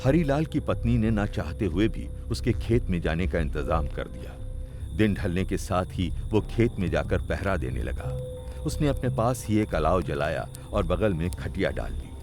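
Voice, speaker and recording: A man's voice: low voice, very animated, normal speed. A man narrating a scripted supernatural story in a dramatic style. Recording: music behind. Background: background music.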